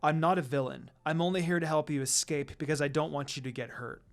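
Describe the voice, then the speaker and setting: A man voicing a cool, protective warrior woman who speaks in a steady, reassuring, matter-of-fact way. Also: a little high, quick pace, soft background music, voice pushed down, lightly acted.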